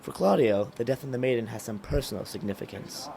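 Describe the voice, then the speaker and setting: A man reporting for a student news show in scripted voice-over, brisk read narration. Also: medium pitch, vocal fry, dark tone, a little hiss or hum, low-quality recording.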